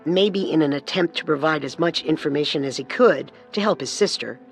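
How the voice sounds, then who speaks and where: A man's voice: fairly high pitch, fairly quick, faint music behind. A man co-hosting a scripted true-crime show, telling and explaining the story. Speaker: co-host narrator.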